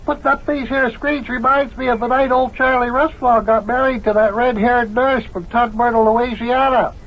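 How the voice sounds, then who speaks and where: An actor playing a folksy, rambling tall-tale spinner and joker in an old-time radio comedy. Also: male voice, very high-pitched, fairly even intonation, normal speed. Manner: drawling, rambling, folksy ungrammatical speech. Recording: dull, lo-fi old radio recording.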